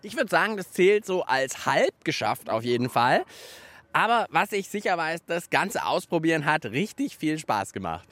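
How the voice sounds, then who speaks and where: A man's voice: on the high side, very animated, moderate pace, a bit bright, dry recording. A man hosting a children's science show, chatting cheerfully and laughing easily. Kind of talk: casual presenting for children.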